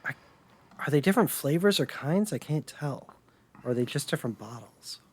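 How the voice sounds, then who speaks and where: A man's voice: fairly high pitch, expressive, a little fast, slightly rough, no echo. American man hosting a podcast, dry close sound. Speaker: podcast host. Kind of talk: casual podcast chat about retro gaming.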